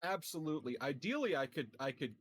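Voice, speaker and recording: A man's voice: fairly high pitch, big pitch swings, a little fast, slightly rough, no echo, clean studio sound. A man chatting casually as a player in a tabletop roleplaying game.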